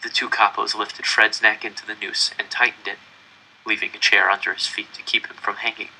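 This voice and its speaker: A man's voice: fairly low pitch, flat delivery, brisk, gravelly, pushed and bright, a little hiss or hum, poor audio. A man reading a novel aloud, telling it in first person with earnest, dramatic storytelling. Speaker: audiobook reader.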